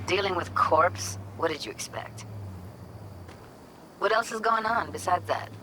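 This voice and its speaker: A woman's voice: lowish voice, animated, a little slow, noisy background. A woman playing a jaded, weary young woman in a video game, tough but tender, talking in casual, clipped slang. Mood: wry, casual. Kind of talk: naturalistic video game voice acting.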